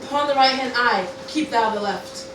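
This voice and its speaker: A woman acts out a defiant hive-mind commander hurling mock Shakespeare in a fairly high voice. The amateur radio play is roomy, with clear noise. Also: normal speed, natural voice. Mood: firm. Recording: roomy, slightly distant, noisy room recording.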